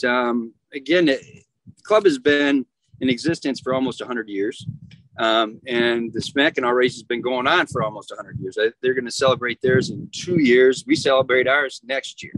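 A middle-aged man, a yacht club commodore talking casually on a video call, often pausing and restarting as he searches for words. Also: mid-range voice, a bit bright, dry recording, rough recording. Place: outdoors at a marina.

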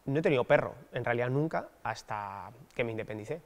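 A man in his thirties, a political candidate, giving thoughtful, hesitant interview answers with many fillers and restarts on a dark studio set. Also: mid-range voice, expressive, quick pace, in a large hall. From Basque Country, Spain.

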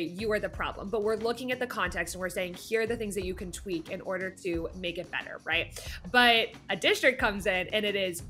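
Bright, emphatic woman in casual discussion. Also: medium pitch. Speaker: podcast co-host, behavior analyst.

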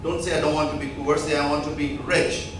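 A middle-aged man giving an animated motivational talk at a medical seminar, speaking into a handheld microphone in a large auditorium. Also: on the high side, a little fast, far from the mic. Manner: animated, emphatic, counting off points. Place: large auditorium with seated audience. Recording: echoey hall, filmed from afar with noise.

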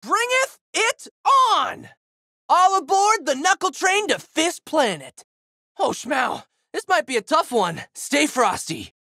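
A brash, big-hearted teenage boy hero delivers video game battle lines. His voice is high and swings wildly in pitch. He is slangy, emphatic and goofy, in a dry, close studio sound. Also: very animated, takes it slowly, creaky, sharp tone, dry recording, full character voice. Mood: cocky.

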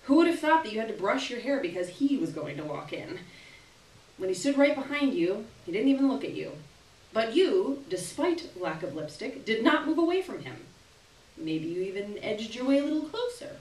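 A young woman performs a short story in a fairly high, very lively voice, phrasing it deliberately and theatrically. She is heard from a distance in an echoing small room. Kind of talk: expressive literary reading to a live audience. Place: small indoor gallery reading event. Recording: roomy live venue, distant camera microphone.

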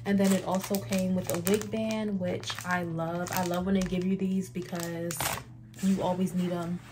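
A woman's voice: lowish voice, level tone, normal speed, background noise. A young adult woman with an American accent, a vlogger chatting casually to the camera. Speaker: beauty and lifestyle vlogger.

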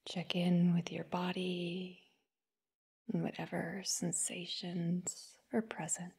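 A woman guiding a meditation in a soft, breathy, whispery voice, gentle and soothing, in short phrases with long pauses. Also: lowish voice, monotone. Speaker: meditation guide. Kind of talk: guided meditation narration.

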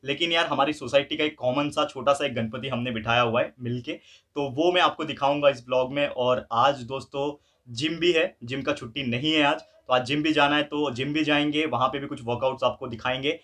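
A man's voice: mid-range voice, fairly even intonation, a little fast, fairly bright tone. A man in his thirties, a vlog host, talking casually to a phone camera held at arm's length and mixing English words into his speech. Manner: chatty, easygoing, mixes English words into Hindi. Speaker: vlogger host. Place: indoor room and gym.

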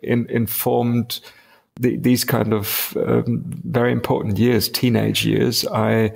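Gravelly German-accented man, hesitant. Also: mid-range voice, flat delivery, slow. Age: 50s. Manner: hesitant, many restarts and fillers. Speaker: guest designer. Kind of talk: casual interview talk.

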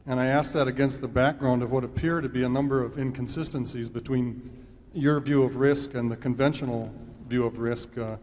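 A man's voice: medium pitch, steady tone, brisk, darkish tone. Man asking question in reverberant hall. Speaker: audience questioner.

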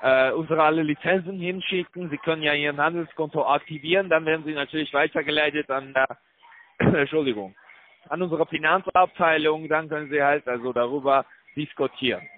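A man working as a call-centre broker, making a halting, repetitive, placating sales pitch over the phone. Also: fairly even intonation. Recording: tinny phone line with loud-enough background noise.

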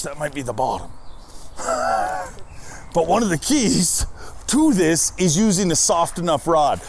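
A middle-aged man hosting a fishing show from a boat on a lake, jokey and quick to exclaim. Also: a little high. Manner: excitable and jokey, exclaiming often. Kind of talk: excitable on-the-water fishing chat. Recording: music under the voice.

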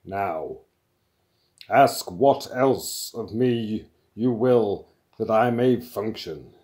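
A man voicing a vast ancient supercomputer in an audiobook, grave and pompous, speaking with weighty pauses. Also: on the low side, lively intonation, darkish tone. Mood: grave, commanding.

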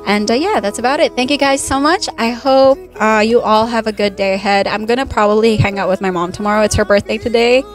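A young woman from the Philippines with a Filipino accent, slightly hoarse, chatting quickly to viewers into a handheld mic during a home livestream. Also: a little high, faint music behind. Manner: quick, chatty, mixes in Tagalog words. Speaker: livestream singer and host. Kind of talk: casual livestream chat between songs. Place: living room indoors. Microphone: handheld microphone.

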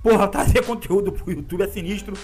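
Excitable man narrating his gameplay. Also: high-pitched, brisk, husky. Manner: excitable slangy banter, frequent laughs. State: congested with a cold, sniffling. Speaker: gaming YouTuber. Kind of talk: live gameplay commentary. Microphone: webcam framing, headphones around neck.

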